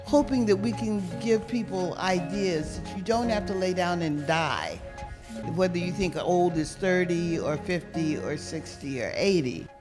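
A woman's voice: fairly low pitch, expressive, unhurried, music in the background. A woman in her seventies, a guest telling personal stories in an interview and acting out the voices in them. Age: 70s.

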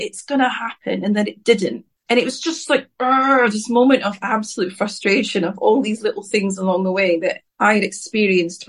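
A woman in her thirties, a singer-songwriter from Scotland, answering interview questions in an outspoken, rambling way, often breaking off and restarting her sentences. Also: medium pitch.

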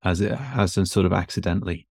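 Man, Newcastle accent, very low voice, relaxed, self-deprecating, fillers, dry close sound. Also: fast talker, vocal fry, slightly rough, no room sound. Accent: Newcastle English. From north of England. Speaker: guest, physiotherapist and educator. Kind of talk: relaxed conversational interview. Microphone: podcast microphone.

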